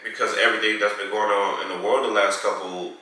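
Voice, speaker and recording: Congested man with a bright, echoey voice. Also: fairly low pitch, little pitch movement, quick pace. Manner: rambling, self-correcting. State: congested, nasal from a head cold. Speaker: vlog co-host. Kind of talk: casual home tour chat. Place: large home theater room, indoors. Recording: echoey room.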